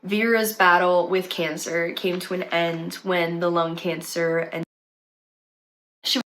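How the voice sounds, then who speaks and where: A woman's voice: lowish voice, little pitch movement, slow pace, fairly bright tone, slight background noise. A young woman with a slight cold, chatting casually as she tells a true crime story for her channel. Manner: chatty, conversational with fillers. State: has a cold, sniffly.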